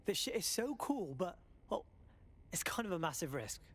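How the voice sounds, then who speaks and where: Higher-voiced, irreverent hacker recruit. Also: male voice, sing-song, normal speed, breathy edge, heavily acted. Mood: hesitant. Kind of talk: video game acting.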